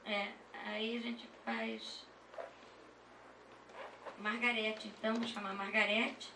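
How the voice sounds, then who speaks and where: A Brazilian woman moderating a session informally and off the cuff, halting and correcting herself as she goes. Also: a little high, level tone. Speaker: session chair introducing speakers. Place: echoey session room at a university dance school. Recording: echoey room, distant mic, clear noise.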